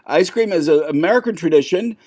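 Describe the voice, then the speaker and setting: A man's voice: fairly high pitch, animated, brisk, a little mellow. A man playing a flustered, exasperated ice cream shop owner interviewing applicants. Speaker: improv player.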